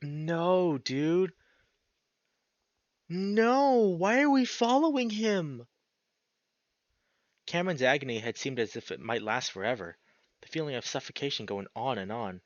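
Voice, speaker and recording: A man with a mid-pitched voice streams a story game, reading the narration and adding casual, sweary commentary. The sound is dry and close, a little dull on top. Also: no echo. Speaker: streamer reading a visual novel aloud.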